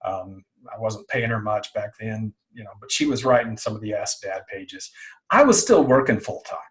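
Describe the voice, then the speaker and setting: A middle-aged man being interviewed, talking casually and haltingly, often repeating words and phrases as he explains things. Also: on the low side, animated, a bit bright. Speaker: interview guest, online business owner.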